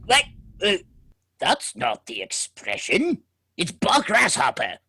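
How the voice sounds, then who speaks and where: A man's voice: high-pitched, huge pitch swings, takes it slowly, sharp tone, breathy edge, full character voice. An actor playing the wisecracking ghost of an old miser in a comic radio musical, joking, punning and singing his warnings. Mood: bickering. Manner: jokey and pun-loving, breaks into song.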